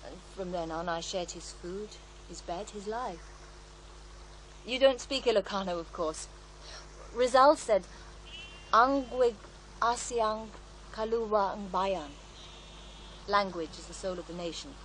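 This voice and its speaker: An actress playing a serene, devout faith healer in a radio drama, reflective and gently assured as she tells her story. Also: mid-range voice, unhurried, long pauses, a little hiss or hum, lightly acted, rough recording. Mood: wistful. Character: serene devout female faith healer, former child therapist, wistful. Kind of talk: naturalistic radio drama acting.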